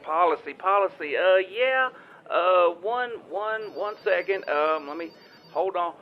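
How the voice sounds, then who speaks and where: Muffled phone sound, a Southern US farmer, flustered, devout, slow and halting, folksy, audio comedy. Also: male voice, high voice, animated. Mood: hesitant, fumbling. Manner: rambling, stammering, halting, folksy. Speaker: caller. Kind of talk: improvised comedic audio drama phone call.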